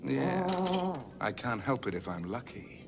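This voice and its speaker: A man's voice: medium pitch, sing-song, a little slow, slight background noise. A man playing a brash, hip space rogue in a radio comedy, slangy, swaggering and impatient. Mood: smug, laid-back. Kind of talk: British radio comedy drama acting.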